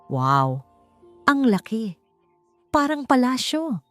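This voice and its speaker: A woman's voice: on the high side, very animated, takes it slowly, faint music behind, voice pushed up. A woman voicing a small child in a storybook tale, earnest, eager to be cheerful and easily scared. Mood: forced wonder. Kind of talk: storybook character voice.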